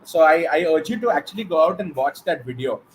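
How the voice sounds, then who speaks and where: A man's voice: fairly high pitch, lively intonation, fast, poor audio. A man with an Indian accent hosting and moderating a live question-and-answer show. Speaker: host. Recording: faint background noise.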